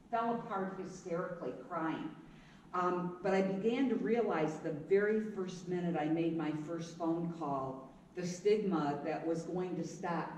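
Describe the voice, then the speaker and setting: A middle-aged woman giving a frank, loud, conversational talk to a live audience without a mic. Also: on the low side. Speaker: speaker. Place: meeting room. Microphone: none, room mic. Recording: roomy hall, voice from far off, faint noise.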